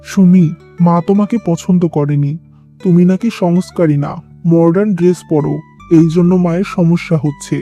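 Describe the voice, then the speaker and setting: A man's voice: sits high, fairly even intonation, normal speed, dark tone. A man voicing an earnest, pleading young son in love in a cartoon drama, over music. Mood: downcast.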